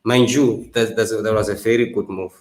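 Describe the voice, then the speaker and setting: Man, lowish voice, passionate panel guest; echoey room. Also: fairly even intonation, normal speed. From Zimbabwe. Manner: passionate, with hesitations. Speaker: political scientist guest. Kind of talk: discussion panel.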